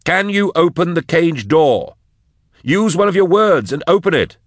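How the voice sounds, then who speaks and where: Man doing a pleading, high-strung young companion. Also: big pitch swings, measured pace, dry recording, clean studio sound. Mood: urgent.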